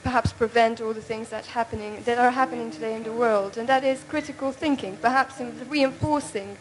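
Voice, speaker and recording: A woman in the audience posing a measured, tentative question to a conference panel. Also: fairly high pitch, steady tone, poor audio. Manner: measured, tentative, many "perhaps". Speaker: audience member. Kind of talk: question from the floor.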